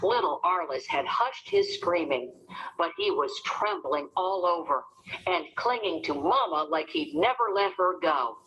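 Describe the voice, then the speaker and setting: A woman narrating a storybook as an expressive, dramatic read-aloud for children. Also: on the low side, a little slow, slight background noise, rough recording.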